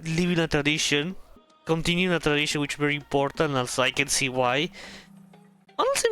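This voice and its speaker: A man's voice: a little high, pushed and bright, clean tone. A man streaming a game, reading dialogue aloud and thinking through choices in casual, hesitant commentary.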